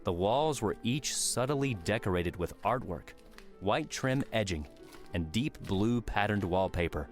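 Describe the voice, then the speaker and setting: Low-voiced man narrating a novel. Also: expressive, unhurried. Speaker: audiobook narrator. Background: soft music.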